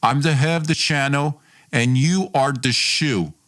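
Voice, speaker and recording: A man's voice: medium pitch, a little slow, fairly bright tone, natural voice. A man voicing a domineering, self-important young man who belittles his friend in acted dialogue for a storytelling podcast. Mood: bossy, boastful. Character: domineering, boastful, scornful young man, a former best friend.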